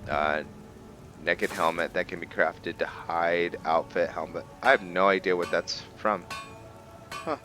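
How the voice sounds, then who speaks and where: A man's voice: low-pitched, fairly even intonation, a little slow, bright, forceful tone. A man giving casual roleplay gameplay commentary, thinking aloud to himself as he plays. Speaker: gaming video host. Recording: background music under voice. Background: game music.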